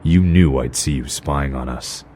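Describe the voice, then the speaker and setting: A man playing a brooding, commanding masked vigilante detective in an audio drama, terse and grave with a low growl. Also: measured pace, warm tone, a little hiss or hum. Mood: grim, clipped. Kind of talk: fan audio drama acting with noir narration. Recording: music under the voice.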